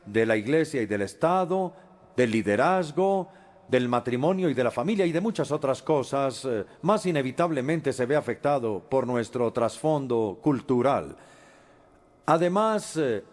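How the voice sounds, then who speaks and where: A man giving a lecture as a Spanish voice-over, measured, with frequent pauses and hesitations. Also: fairly high pitch, a little mellow.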